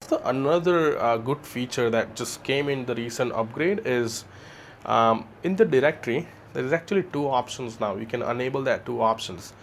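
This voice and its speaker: A man presents a product in an informal, explanatory way, often tagging his points with a questioning "right?". His voice sits at an average pitch. It is recorded on location at a building entrance, with faint noise behind him. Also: lively intonation, moderate pace. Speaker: security installer presenting a product. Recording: clear background noise on location.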